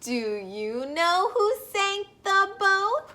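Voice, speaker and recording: A woman reading a children's picture book aloud expressively, in a sing-song way, pausing to put questions to young listeners. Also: very high voice, sing-song, pushed and bright, slight background noise.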